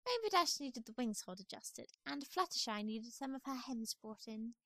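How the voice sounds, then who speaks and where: A posh lady pony from a cartoon-style audio play. The voice is high and swoops expressively, with fussy, genteel airs. It is recorded close and dry. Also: animated, normal speed, dry recording. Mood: brisk, fussy. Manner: affected, genteel, dramatic. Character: refined, theatrical female fashionista pony, fussy and posh. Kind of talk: amateur audio drama acting.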